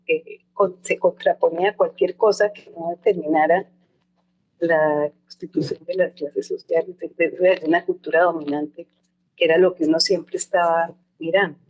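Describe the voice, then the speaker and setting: A woman's voice: on the low side, low-quality recording. An older woman, an anthropologist answering interview questions in a thoughtful, reflective way, often restarting and hedging as she remembers. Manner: thoughtful, with frequent restarts and hedges.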